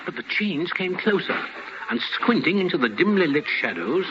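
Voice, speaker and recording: A man's voice: high-pitched, big pitch swings, moderate pace, noisy background. A man narrating a scripted 1950s radio drama as a theatrical storyteller who savors his words. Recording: muffled old radio broadcast. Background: music.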